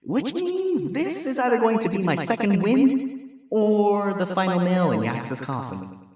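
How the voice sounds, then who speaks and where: A man's voice: high voice, very animated, measured pace, a little mellow, husky, no room sound, faint music behind, voice pushed up, full character voice. A man voicing a sarcastic, wisecracking male fox defense attorney with a dry, exasperated delivery.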